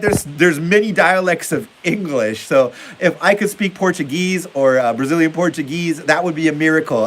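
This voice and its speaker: Young man, sports stream host, slightly high voice, rambling and upbeat, phone mic, faint background noise. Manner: rambling, upbeat, chatty with filler words. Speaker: livestream host reading chat. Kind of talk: casual live stream chat. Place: home basement.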